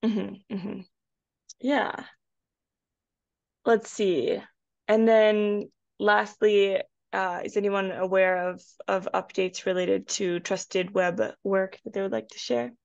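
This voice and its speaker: A woman's voice: mid-range voice, steady tone, slow, creaky, a little mellow, no room sound. A woman hosting an informal meeting over a video call, speaking in a tentative, hesitant manner. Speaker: meeting host. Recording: muffled video call audio.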